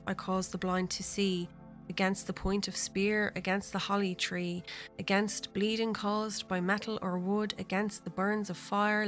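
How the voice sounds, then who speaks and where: A woman's voice: mid-range voice, sharp tone, lightly acted. A woman voicing a matter-of-fact, unflappable male healer god.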